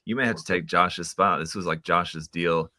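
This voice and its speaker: A man hosting a casual live stream chat, relaxed and laughing often, with many fillers. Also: low-pitched, moderate pace, no echo, clean studio sound.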